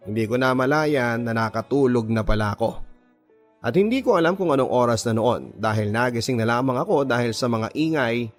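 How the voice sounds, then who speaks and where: Filipino guy doing radio drama narration, confiding and dramatic, medium pitch, music under him. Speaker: radio drama narrator reading a listener's letter. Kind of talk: scripted first-person story narration. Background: music bed.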